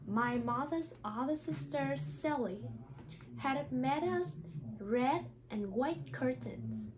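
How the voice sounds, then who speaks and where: A high-pitched woman speaking English as a second language in a teacher's read-aloud style. She goes slowly and carefully, sometimes stumbling over words. There is some light background noise. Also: a little mellow, poor audio. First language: not English. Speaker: teacher reading a picture book aloud. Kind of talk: read-aloud storytelling and lesson explanation.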